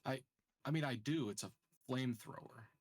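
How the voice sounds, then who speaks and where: A man chatting casually as a game player, halting and often repeating himself as he thinks aloud. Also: mid-range voice, no room sound. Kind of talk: casual chat during a game.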